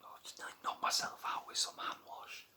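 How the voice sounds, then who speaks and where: A man's voice: brisk, bright, forceful tone. Whispering man rambling playfully. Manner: rambling, self-mocking, playful. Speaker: ASMR vlogger. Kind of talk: whispered ASMR vlog. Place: room at home at night.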